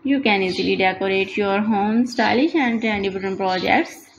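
Creaky-voiced woman hosting a slideshow. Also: mid-range voice, normal speed, muffled recording, slight background noise. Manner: repetitive, enthusiastic promotional phrasing; non-native, simple English. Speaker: channel host. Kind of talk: voice-over for a slideshow.

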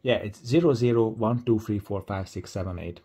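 A man's voice: on the low side, normal speed, warm tone, husky. A man speaking as a caller in a demonstration phone conversation.

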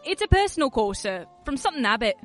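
An actress playing a flustered, deferential household maid in a radio play. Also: female voice, sits high, sing-song, fast, soft background music.

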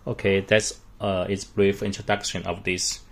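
A man giving an unscripted product walkthrough in halting, short phrases with non-native English grammar. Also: low-pitched, little pitch movement, gravelly, fairly bright tone. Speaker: product demonstrator.

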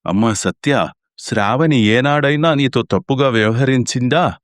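A man's voice: mid-range voice, normal speed, no echo, lightly acted. Stern old family patriarch acted by a man, dry. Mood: stern, reproachful. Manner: commanding, reproachful. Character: stern, blunt elderly father and family patriarch.

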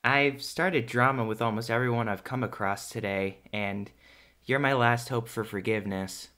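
A man's voice: medium pitch, normal speed, a bit bright, natural voice. A man voicing an anxious, persistent young hedgehog hero in a fan audio drama, earnest and pleading. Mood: sheepish.

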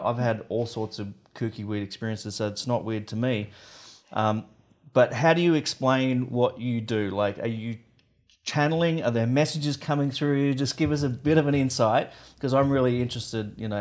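A man in his forties hosting a podcast interview in a studio, talking loosely with many fillers. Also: lowish voice. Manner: relaxed, rambling with fillers. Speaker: podcast host. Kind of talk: casual interview. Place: podcast studio with wooden wall and plants. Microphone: studio mic on boom arm.

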